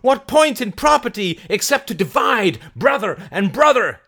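Man voicing a shouting high-pitched fiery rebel woman. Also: very animated, moderate pace, heavily acted. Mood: fervent, defiant. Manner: declamatory, shouted oratory. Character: fiery rebel woman rousing a mob, defiant, preaching. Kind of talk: audiobook character acting.

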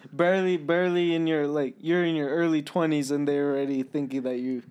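A man's voice: fairly high pitch, fairly even intonation, moderate pace, darkish tone, clear, resonant tone. A man in his twenties chatting casually on a podcast couch, telling stories and laughing often. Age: 20s. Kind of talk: casual chat.

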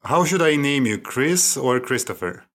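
A man hosting a podcast interview, asking questions with halting, slightly non-native phrasing. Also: mid-range voice, lively intonation, a bit bright. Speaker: podcast host.

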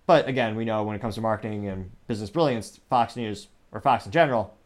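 A man hosting his own commentary show, rambling and correcting himself as he goes, recorded on a small USB microphone. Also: lowish voice. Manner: rambling, self-correcting, mentions stuttering and speaking fast. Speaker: show host.

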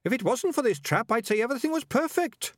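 A plump little storybook rat, voiced by a male narrator, pitched high and small. He is chirpy, polite and unruffled, with a bouncy delivery and a dry, close recording. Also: expressive, quick pace, dry recording. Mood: cheerful, unruffled.

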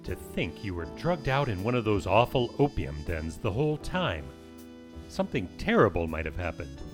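A man plays a dignified middle-aged father in a period audio drama. His voice is low and stern, with a fond side. Loud music runs beneath him. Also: sing-song, normal speed. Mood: stern, gracious. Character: stern, relieved wealthy father of a grown daughter. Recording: loud music under the dialogue.

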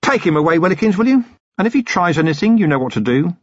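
A man voicing a weary, gruff city police commander who talks in a slow, deliberate, menacing way. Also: sits high, big pitch swings, dry recording, lo-fi sound, pitched above the performer's own voice. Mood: dry.

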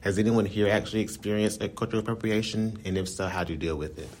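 Low-pitched American man hosting a podcast. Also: quick pace, a little mellow, slight background noise. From United States. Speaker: podcast host. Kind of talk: moderated casual discussion.

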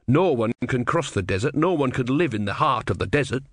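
An anxious young novice, played by a male reader, pitched well above his narrating voice. He is meek, devout and hesitant, earnest in everything he says. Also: animated, a little fast, dry recording, clean studio sound, lightly acted. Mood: uneasy.

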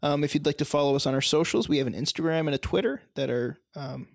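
A man's voice: a little high, fast talker, gravelly, dry recording, clean studio sound. Chatty young man on a podcast. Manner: rambling, many ums and uhs. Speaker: podcast co-host. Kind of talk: casual chat.

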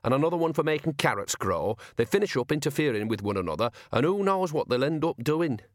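A man's voice: a little high, big pitch swings, fast, no room sound, clean studio sound, pitched above the performer's own voice. A man voicing a resentful working-class cart driver who mutters and grumbles colloquially in an audiobook. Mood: resentful grumbling. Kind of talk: audiobook character voice.

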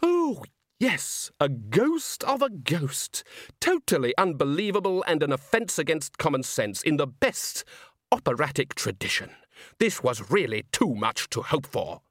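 A suave storybook villain acted out by a man, with pitch that swoops up high and wide. He is grandiose and mock-polite, stressing his words with sardonic relish in a close, dry recording. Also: big pitch swings, unhurried, a bit bright, dry recording, heavily acted. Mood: gleeful mania. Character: suave, sardonic, theatrical male villain with a sword.

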